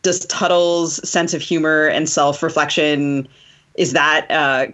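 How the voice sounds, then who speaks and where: A woman hosting a casual literary interview, reworking the questions in a halting way as they come out. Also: low voice, flat delivery, fairly bright tone. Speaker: podcast host interviewing a guest.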